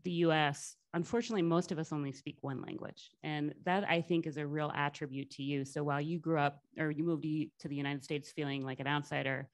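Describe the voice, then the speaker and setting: A woman's voice: sits low, fairly even intonation, brisk, hardly any pauses, no echo. A woman in her forties moderating a conference chat on stage with a handheld mic, upbeat and encouraging. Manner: upbeat, encouraging, repeats words. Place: conference stage before a live audience.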